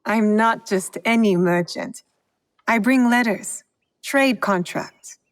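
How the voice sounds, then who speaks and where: A woman's voice: on the high side, slow. An actress playing a bold, composed woman posing as a trader in a video game, polite and self-assured. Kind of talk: video game cutscene acting.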